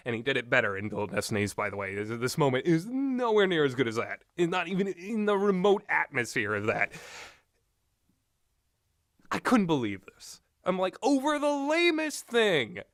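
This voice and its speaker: A man's voice: a little high, big pitch swings, moderate pace, fairly bright tone, no echo, studio quality. A man giving rambling, informal and opinionated commentary on games, speaking off the cuff with emphatic repetition. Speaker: commentator reviewing visual novel games.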